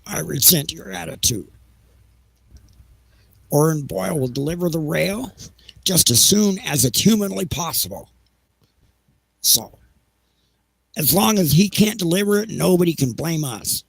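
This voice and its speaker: A man's voice: fairly high pitch, expressive, measured pace, gravelly, pauses often, breathy edge, no echo, heavily acted. A man playing an evasive, petulant railroad executive in an audio play, stammering and blustering defensively. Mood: resentful, blustering.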